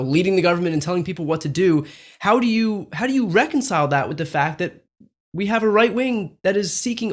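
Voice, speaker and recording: A man interviewing a political candidate, asking long, pointed questions. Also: high voice. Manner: leading questions, pointed and rising.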